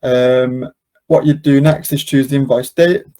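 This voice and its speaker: Male webinar host, mid-pitched and relaxed in tone, explaining software steps in an informal, unscripted way. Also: measured pace. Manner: casual, step-by-step explaining.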